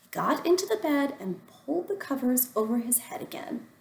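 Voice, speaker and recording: A woman teacher reading a storybook aloud to young children, explaining simply with deliberate phrasing. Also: high-pitched, sing-song, vocal fry, darkish tone, roomy sound. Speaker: first-grade teacher.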